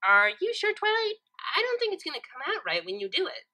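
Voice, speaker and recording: A woman's voice: very high-pitched, huge pitch swings, fairly quick, bright, forceful tone, voice pushed up, exaggerated voice. A woman voicing a bubbly, innocent, silly young female cartoon pony in a perky, naive manner, in a fan fiction reading. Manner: perky, playful, cheerfully naive.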